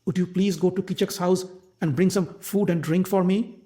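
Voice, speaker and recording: A man's voice: high-pitched, steady tone, normal speed, mellow, voice pushed up, close to an everyday voice. A man voicing a jealous queen who coaxes and schemes in a sly manner. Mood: scheming. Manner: sly and coaxing.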